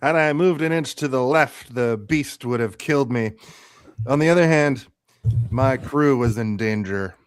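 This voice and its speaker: A man's voice: medium pitch, measured pace, clean tone, no echo, lightly acted. A man playing a vain, theatrical actor known as a sci-fi starship commander, speaking dramatically in a live radio-theatre script read. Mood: showy. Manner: dramatic, grandiose.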